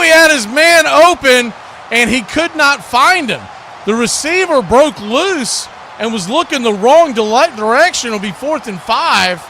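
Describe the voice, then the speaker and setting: Guy calling a football game live, high voice, noisy background. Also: pushed and bright. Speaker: play-by-play announcer. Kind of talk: live football play-by-play commentary. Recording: clear background noise.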